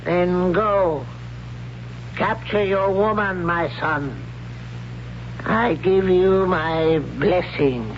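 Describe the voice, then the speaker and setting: A stern old high priest and father in a 1970s radio drama. He declaims slowly and solemnly in a raised register, devout and commanding. The recording is dull, with clear hiss and hum. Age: older.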